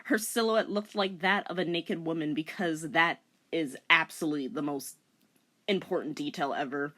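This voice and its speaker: A woman's voice: sits low, normal speed, pushed and bright. A woman giving a dramatic reading of a story as commentator, with wry, mocking asides. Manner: sarcastic, mocking.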